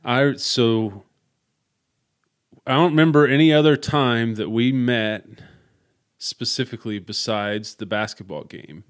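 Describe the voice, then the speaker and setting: A man, hosting a podcast interview and telling stories in a loud, outgoing way. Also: mid-range voice, unhurried, smooth. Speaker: podcast host. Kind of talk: casual conversational interview.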